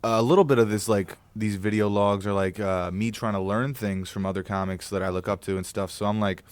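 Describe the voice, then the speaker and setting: A man's voice: on the low side, steady tone, a little fast, clear, resonant tone, no room sound. A man of thirty hosting a casual interview from a hotel conference room, laid-back and joking, swearing freely. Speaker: podcast host.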